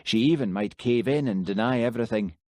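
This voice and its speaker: A man reading an audiobook, voicing a Highland village policeman who talks plainly and questions firmly. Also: a little fast, warm tone, no echo, clean studio sound, natural voice. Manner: plain-spoken, firm questioning. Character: Highland village policeman, easygoing but stern. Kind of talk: audiobook character voice.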